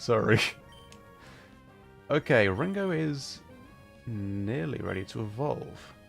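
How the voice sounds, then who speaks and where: A man's voice: mid-range voice, creaky. A man hosting a casual livestream, rambling thoughtfully as he plays and answers chat, with an easy tendency to chuckle. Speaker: livestreamer and host.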